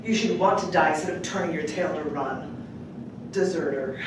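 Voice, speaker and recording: A woman poet reading her work aloud at a live open mic, with deliberate, dramatic phrasing and casual asides. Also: low-pitched. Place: public library room at a live event. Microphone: distant microphone. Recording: echoey room from far off, clearly noisy.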